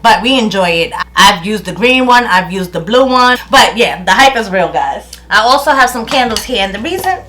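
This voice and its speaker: A woman's voice: medium pitch, lively intonation, moderate pace, few pauses, a bit bright, a little hiss or hum. A woman in her thirties from New York with a New York accent, chatting casually through a product review at home and laughing often. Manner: chatty, laughs often, loses words and restarts. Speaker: beauty vlogger. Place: home room, indoors.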